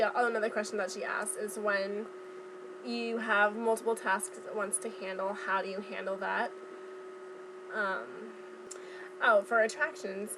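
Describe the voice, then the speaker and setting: A young woman talks in a casual vlog style. Her voice sits at an average pitch for a woman. She speaks informally, pausing and picking her way as she recalls details, over noticeable background noise. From Southern California. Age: young adult. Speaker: vlogger. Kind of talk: casual chat vlog, recounting from memory.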